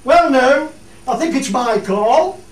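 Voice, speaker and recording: A man plays a plain-spoken countryman with a very high voice and broad Cumbrian dialect. He talks in a homely way for a radio play, heard at some distance in a roomy space. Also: expressive, takes it slowly, full character voice. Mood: homely grumbling. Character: plain-spoken Cumbrian countryman grumbling about meddling regulations. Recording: echoey room, distant microphone.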